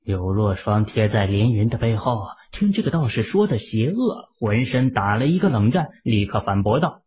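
A male audiobook narrator with a husky, breathy voice who tells the story with lively, rising and falling intonation. The sound is tinny and narrow, like it comes over a phone line. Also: lowish voice, normal speed. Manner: expressive, dramatic pacing.

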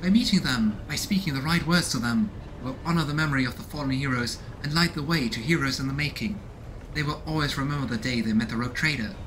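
A man's voice: mid-range voice, quick pace, noisy background, close to an everyday voice. A man voicing a zealous, devout female warrior nun from a video game, speaking in a formal, earnest way. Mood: earnest, solemn.

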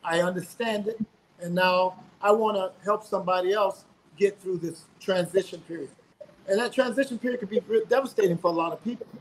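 A middle-aged man with a notably high voice talks earnestly over a video call from his home. He often restarts and repeats words as he goes. A faint hum of room ambience sits behind him. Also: brisk, low-quality recording. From South Carolina, US. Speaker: guest, singer. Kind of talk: casual interview.

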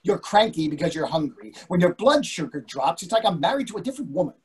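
An older actor plays a grumbling, exasperated husband in a stage comedy. His voice is very husky and rough, and its pitch jumps around in lively fashion as he bluntly complains. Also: quick pace, exaggerated voice. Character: impatient, grumbling husband craving beer and food. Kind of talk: readers theatre comedy acting. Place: indoor room on a video call. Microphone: video call microphone.

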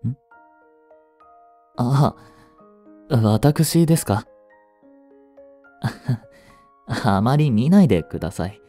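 A man voicing a devoted, protective young butler in a romantic voice drama, frantic while searching a fire and soft and tender at a bedside. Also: medium pitch, very animated, very slow, long pauses, soft background music. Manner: soft, gentle and intimate in the bedside scene.

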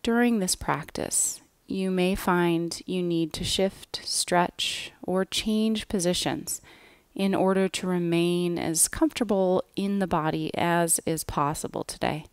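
A woman guiding a yoga nidra relaxation in a gentle, measured way, with soft phrase endings. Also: fairly low pitch, fairly even intonation, clean tone, no echo. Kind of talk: guided relaxation meditation, scripted.

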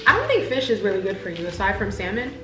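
A young woman guesting on an eating video in a home kitchen, talking in a low-key, soft, relaxed way. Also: fast talker, echoey room, over music. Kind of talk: casual chat while eating.

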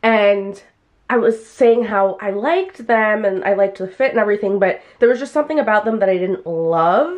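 A woman's voice: medium pitch, normal speed, muffled recording. A young woman, a hairstylist, chatting casually and rambling to a handheld camera in small home rooms and a car, with lots of "like" fillers. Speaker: hairstylist and vlogger. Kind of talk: casual vlog chat to camera. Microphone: handheld camera at arm's length.

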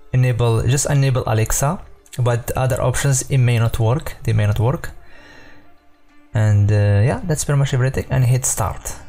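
Mellow-voiced man explaining software casually. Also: medium pitch, vocal fry. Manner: loose and informal, many fillers and self-corrections. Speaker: software tutorial presenter. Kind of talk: casual screen-recorded tutorial.

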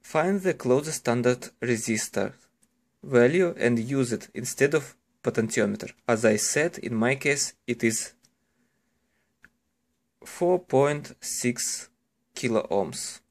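Slow-speaking man. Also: mid-range voice, animated. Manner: halting, careful phrasing in simple non-native English. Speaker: electronics hobbyist giving a tutorial. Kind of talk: instructional narration, step-by-step explanation. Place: indoors at a desk, hands-on demonstration behind the camera.

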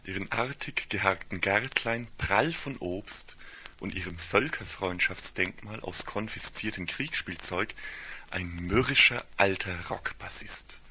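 A man's voice: lowish voice, bright, forceful tone, slight background noise. A man narrating a literary audio story in a solemn, ornate fairy-tale storytelling manner.

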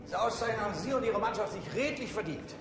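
Genial, official police chief, gravelly high voice, echoing hall. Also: male voice, animated, quick pace. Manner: official, formal. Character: formal, genial high-ranking police president. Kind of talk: TV crime comedy acting. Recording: reverberant hall, music behind.

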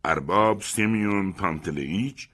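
A man's voice: low-pitched, a bit bright. A man voicing a deferential male coachman who answers in a dutiful, respectful way.

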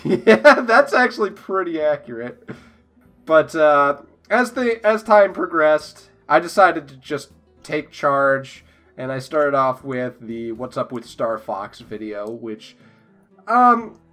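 A man's voice: a little high, very animated, slow, a bit bright, faint music behind. A young man chatting casually as a podcast guest, joking and giggly as he draws. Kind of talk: casual chat while drawing.

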